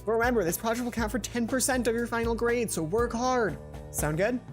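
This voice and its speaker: A man's voice: extremely high pitch, a little fast, voice pushed up. Indifferent female teacher, read by a man, offhand instruction, game dialogue, music underneath. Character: breezy, indifferent adult female art teacher.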